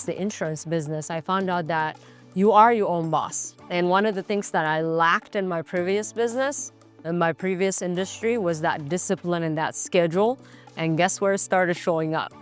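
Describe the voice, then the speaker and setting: A woman in her thirties with an Ethiopian accent, telling her personal story conversationally as an interview guest, often checking in with "right?". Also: fairly low pitch. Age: 30s. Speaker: insurance agency owner, interview guest.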